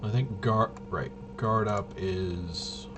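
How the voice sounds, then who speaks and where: A man thinking aloud in casual tabletop gameplay commentary, halting and muttering to himself. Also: fairly low pitch, over music. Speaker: tabletop RPG player and presenter.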